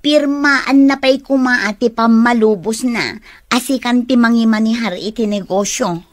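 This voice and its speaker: A woman's voice: a little high, moderate pace, dry recording, close to an everyday voice. An actress playing a greedy, goading female accomplice in an Ilocano radio drama, with an Ilocano accent. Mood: conniving.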